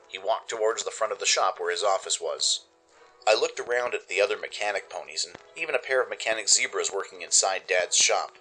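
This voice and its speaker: Bright, low-voiced man narrating dramatically. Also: fairly quick, faint music behind, low-quality recording. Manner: theatrical, expressive. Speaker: narrator and radio play host.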